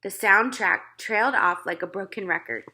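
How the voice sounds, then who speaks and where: Bright-voiced woman reading a storybook. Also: mid-range voice, moderate pace. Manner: acts out dialogue. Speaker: read-aloud narrator. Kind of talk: expressive children's storybook narration.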